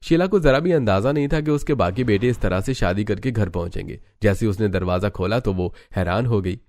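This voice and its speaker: A man's voice: on the low side, brisk, a little mellow. A man reading scripted storybook narration as the narrator of a cartoon tale.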